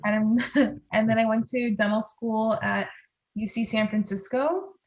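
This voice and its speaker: A woman's voice: fairly high pitch, steady tone, unhurried, darkish tone. A woman from Los Angeles with a Californian accent, answering as a podcast guest in casual conversation, on slightly dull lo-fi audio. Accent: Californian American. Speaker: podcast guest, pediatric dentist.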